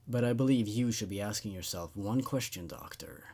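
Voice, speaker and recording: A mouthless nightmare creature from a horror story, acted out by a man. The voice is lowered and quiet, moving slowly and ominously with quiet menace. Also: husky. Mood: quietly menacing. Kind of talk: dramatic horror story reading.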